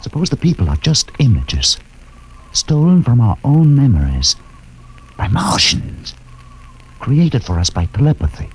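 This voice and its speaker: An actor playing a haunted male ship's doctor in a 1950s radio drama, talking slowly and gravely. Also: mid-range voice, wildly swooping pitch, heavily acted, rough recording. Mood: brooding. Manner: slow, grave, musing. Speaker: Doctor Horst.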